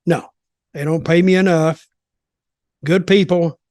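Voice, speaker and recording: A man's voice: fairly high pitch, steady tone, measured pace, a little mellow, no echo, studio quality. An older man in casual, rambling, opinionated talk about wrestling as a podcast commentator.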